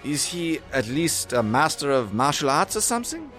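An actor playing a pompous, sarcastic German military villain with a theatrical German accent in a comedy. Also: male voice, a little high, sing-song, moderate pace, fairly bright tone, faint music behind. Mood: dry, exasperated. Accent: mock German. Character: pompous, impatient German military villain, childishly vain, secretly soft.

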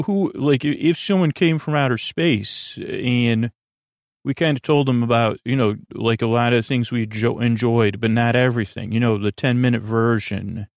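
Creaky-voiced man, halting and meandering. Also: medium pitch, no echo, clean studio sound. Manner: halting, meandering, stammering with false starts and self-corrections. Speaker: podcast host. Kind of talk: rambling bedtime podcast monologue.

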